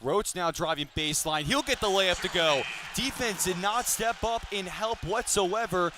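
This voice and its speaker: Basketball radio announcer, male, twenties, high-pitched, detailed and polished live calls, light arena ambience behind. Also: normal speed. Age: 20s. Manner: detailed, polished broadcaster delivery. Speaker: sports play-by-play announcer. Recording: live sports broadcast with arena noise.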